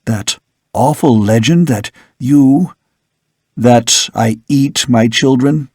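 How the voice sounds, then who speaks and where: Guy doing a tired old doctor dad, soft and grave, dry sound. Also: medium pitch, slow pace, husky, no echo. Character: older man, weary brooding father, a doctor. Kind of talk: audiobook character reading.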